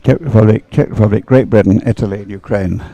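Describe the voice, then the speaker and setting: Low-pitched man, creaky live race commentator. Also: fast, mellow, no room sound, highs cut off. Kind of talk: live sports commentary, unscripted. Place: outdoors beside the water at a regatta.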